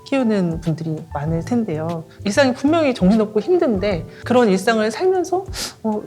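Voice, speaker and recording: A woman of forty, an author being interviewed, answering in a measured, polite way with light laughs. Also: mid-range voice, sing-song, a little mellow, soft background music. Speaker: author guest.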